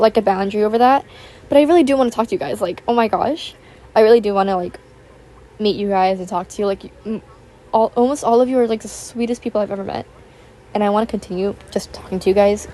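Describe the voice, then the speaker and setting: A young woman, raspy from just waking up, rambling chattily over a voiceover and recorded at home on a built-in tablet mic. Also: medium pitch, warm tone. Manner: rambling, filler-heavy, chatty. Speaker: YouTuber. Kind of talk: casual chat voiceover. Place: home, with household noise. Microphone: built-in tablet microphone.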